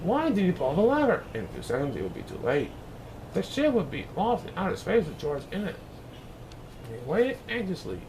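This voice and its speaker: A man reading a children's picture book aloud in an expressive, dramatic way, occasionally stumbling over words. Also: high voice, brisk, background noise. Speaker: storybook reader, show host.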